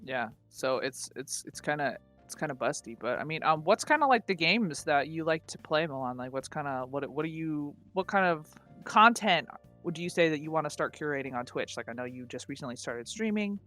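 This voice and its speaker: A woman with a husky voice, co-hosting a podcast in loose, self-mocking casual chat full of filler words and casual swearing. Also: low-pitched, lively intonation, brisk, a bit bright, faint music behind. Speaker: podcast co-host and streamer.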